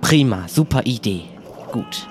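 A man acts out a courteous, eager but slightly swamped pirate crewman in an improvised audio play. The voice sits at a middle pitch and comes out hesitantly. Music plays clearly behind it. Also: huge pitch swings, unhurried. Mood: obliging.

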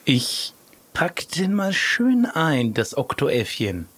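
A man with a husky, creaky voice playing along casually in an improvised pirate adventure. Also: a little high, very animated, takes it slowly, a bit bright. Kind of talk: casual improvised role-play.

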